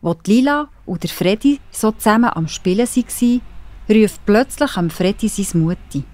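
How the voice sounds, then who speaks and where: A woman's voice: medium pitch, animated, moderate pace, a little mellow. A woman with a Swiss German accent narrating a children's story. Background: music.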